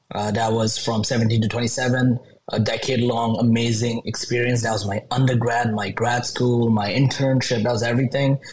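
Man in his thirties, podcast guest, chatty, halting, faint noise behind, medium pitch. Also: a little mellow, poor audio. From Bangladesh. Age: 30s. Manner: many fillers and restarts, upbeat. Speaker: podcast guest, tech company founder. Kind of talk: casual interview chat.

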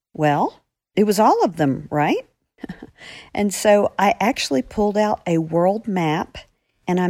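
A woman's voice: fairly low pitch, dark tone, dry recording, studio quality. A woman, an author answering questions, explains things in casual chat.

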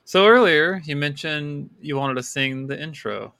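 Creaky, bright-voiced man hosting casually. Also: on the high side, measured pace. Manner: encouraging, repeats phrases. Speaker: podcast host. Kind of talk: casual chat.

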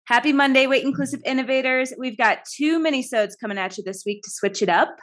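A woman's voice: sits high, fast, fairly bright tone, soft background music. Upbeat woman co-hosting a podcast. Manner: upbeat and encouraging. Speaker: podcast co-host. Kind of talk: casual chat.

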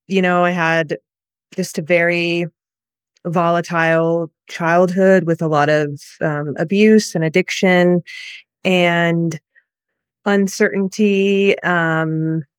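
A woman from the United States, telling her personal story as a guest in an interview, soft and reflective with many pauses. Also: lowish voice, level tone, clear, resonant tone, dry recording, clean studio sound.

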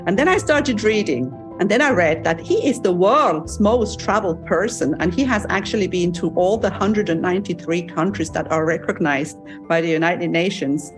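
A woman from Switzerland who hosts a travel interview podcast, chatty and quick to laugh. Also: lowish voice, music in the background. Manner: chatty, laughs often, digresses. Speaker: podcast host. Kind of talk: casual interview chat. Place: home in Cyprus.

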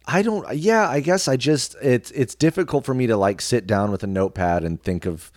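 A man's voice: medium pitch, expressive, moderate pace, a little mellow, no room sound, clean studio sound. A man telling stories casually as an interview guest, informal and reflective. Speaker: interview guest, radio personality and actor. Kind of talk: casual conversational storytelling.

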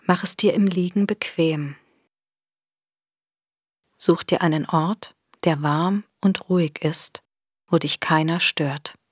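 Slow, breathy woman guiding meditation. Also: lowish voice, fairly even intonation, dry recording, studio quality. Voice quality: soft, breathy. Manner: gentle, soothing, unhurried instruction with long pauses. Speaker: meditation guide. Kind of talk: guided body scan meditation, scripted narration.

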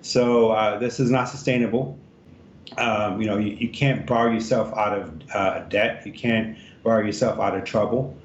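Guy from ethiopia answering interview questions, lots of uhs, lowish voice, echoey muffled line, faint rumble. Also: steady tone. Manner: halting, many fillers and restarts. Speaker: congressional candidate guest. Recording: roomy, dull remote line with faint rumble.

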